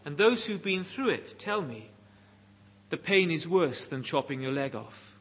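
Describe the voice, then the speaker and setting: Slow, animated Welsh male preacher, husky voice. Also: fairly high pitch. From Wales. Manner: emphatic, deliberate phrasing with pauses. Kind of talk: sermon.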